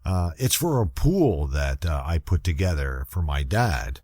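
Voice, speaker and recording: A man playing a shaken grown son in a radio murder mystery, halting and uneasy. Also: a bass voice, very animated, darkish tone, slightly rough, dry recording, clean studio sound, full character voice. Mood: flustered. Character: shaken, hesitant grown son of elderly parents. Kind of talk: old-time radio detective acting.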